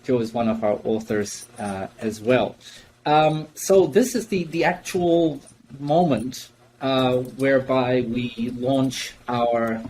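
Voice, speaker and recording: Brief: man hosting a video-call panel, mid pitch, chatty with many restarts, faint hiss. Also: takes it slowly, darkish tone, poor audio. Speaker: host and book editor. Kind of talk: casual online book launch hosting.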